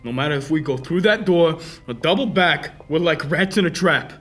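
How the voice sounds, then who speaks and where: A man's voice: a little high, lively intonation, normal speed, loud music behind the voice, close to an everyday voice. A man playing a tough, protective detective hero with terse, hard-boiled delivery in an old-time radio drama. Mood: tense resolve.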